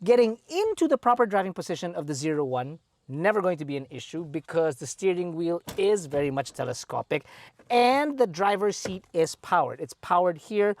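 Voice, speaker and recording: Lively Filipino man reviewing a car. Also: sits high, normal speed, no room sound. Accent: Filipino English. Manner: chatty, playful, enthusiastic asides. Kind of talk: presenting a car review walkaround.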